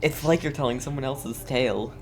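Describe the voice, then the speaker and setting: A woman streamer giving casual, halting commentary as she plays a game. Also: a bass voice, soft background music. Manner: hesitant, halting, informal.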